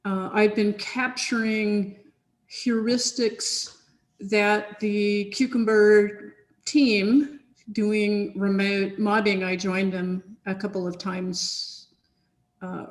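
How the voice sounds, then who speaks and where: A woman chatting casually over a video call from her home office, rambling with lots of fillers and restarts. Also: mid-range voice. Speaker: software team researcher in a chain conversation. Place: home office with big sunny windows. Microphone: computer on a video call.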